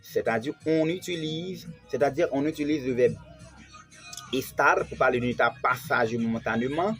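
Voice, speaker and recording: A man from Haiti delivers a televised Spanish lesson at an ordinary pitch, going over each point again and again and putting weight on the key words. Faint music plays behind him. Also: normal speed, a bit bright. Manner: repetitive, stresses key words. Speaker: Spanish teacher.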